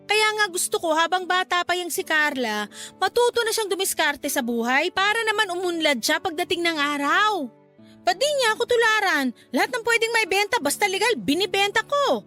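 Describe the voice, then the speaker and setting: A woman's voice: very high-pitched, animated, moderate pace, bright, forceful tone, full character voice. A woman playing a stern, nagging, hardworking mother who scolds in exasperation, in a Filipino radio drama. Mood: preachy. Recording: music bed behind the voice.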